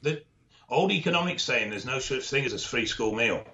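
Bright-voiced man, dull lo-fi sound. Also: mid-range voice, lively intonation. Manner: knowledgeable, measured, with dry humour and frequent ums. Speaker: expert co-host. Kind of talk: casual podcast chat, explaining. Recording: dull lo-fi audio.

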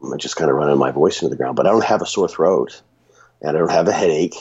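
A man with a cold and a raspy voice, talking casually on a podcast with many restarts and fillers. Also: rumbling low, fast, a little mellow, lo-fi sound. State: sick with a runny nose.

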